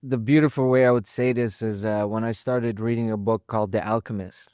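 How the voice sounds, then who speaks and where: A man in his twenties from Afghanistan, a fighter guesting in a studio, speaking calmly and reflectively with frequent pauses and fillers. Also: fairly low pitch, dry recording. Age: 20s. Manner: calm, reflective, halting with many fillers. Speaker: mixed martial arts fighter, guest. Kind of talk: casual interview talk. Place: podcast studio.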